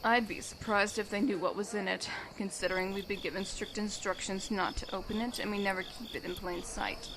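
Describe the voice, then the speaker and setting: A woman playing an old elven mercenary leader in an audio drama, brisk, wry and folksy in a matter-of-fact way. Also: medium pitch, steady tone, natural voice. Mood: calm, wry. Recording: clear background noise, crickets.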